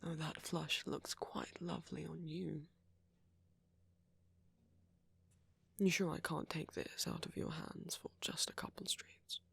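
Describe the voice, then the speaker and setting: Husky, flirty gentleman vampire played by a man. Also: a little high, no echo, full character voice. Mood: flirty, reassuring. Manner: gently teasing, polite old-fashioned phrasing, soft chuckles. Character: friendly, flirty, courteous male vampire, teasing yet reassuring. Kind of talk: ASMR roleplay, intimate one-sided dialogue to the listener. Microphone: close microphone.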